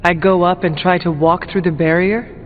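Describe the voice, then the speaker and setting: An actor playing a brooding, skeptical hero in a video game, with a dry, questioning and formal manner. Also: male voice, high voice, steady tone, moderate pace, a little hiss or hum, lightly acted. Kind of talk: scripted video game dialogue. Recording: reverberant hall, narrow phone-like sound.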